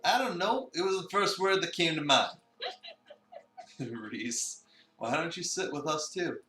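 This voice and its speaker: A man's voice: fairly high pitch, lively intonation, a little slow, bright, forceful tone, voice pushed up. A man voicing a polite, slightly stuffy, fatherly cafe staffer in a visual novel, with a mild, formal manner. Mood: friendly. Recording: roomy, distant mic.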